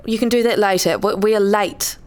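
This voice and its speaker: A woman's voice: mid-range voice, steady tone, a little fast, darkish tone, close to an everyday voice. A woman playing a weary, irritable wife and mother in a radio play, speaking curtly and reproachfully. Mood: irritated.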